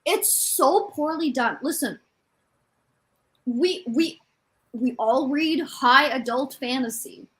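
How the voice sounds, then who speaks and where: A woman's voice: high-pitched, takes it slowly, pauses often, a bit bright. A woman chatting casually about books, blunt and opinionated in how she talks. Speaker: guest on a book reading livestream.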